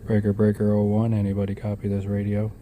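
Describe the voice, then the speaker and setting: A man's voice: fairly low pitch, completely flat delivery, fast. A man giving a casual, unscripted walkthrough of a hands-on job, half talking to himself as he works. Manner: unscripted, muttering to himself while working. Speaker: vlogger demonstrating a DIY vehicle install. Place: in and around a vehicle. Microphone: handheld camera microphone. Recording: noisy handheld camera, clicks and rattles.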